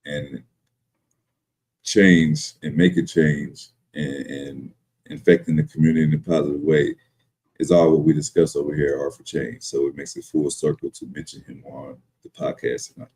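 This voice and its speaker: Very deep, husky man. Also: little pitch movement, moderate pace, warm tone. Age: 30s. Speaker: podcast co-host. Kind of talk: casual, reflective talk.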